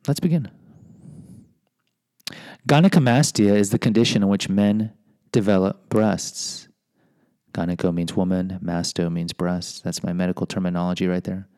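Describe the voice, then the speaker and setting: A man's voice: low-pitched, mellow, smooth, no echo, studio quality. A middle-aged American man hosting a podcast, reading a personal memoir with rambling, self-deprecating asides. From United States. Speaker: podcast host and teacher.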